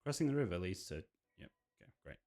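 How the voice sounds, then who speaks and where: Male game streamer, slightly low voice, very varied intonation, casual off-the-cuff commentary and reactions. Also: big pitch swings, fast, mellow. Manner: casual, reacting and musing aloud. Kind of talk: game playthrough commentary, reading visual novel dialogue aloud.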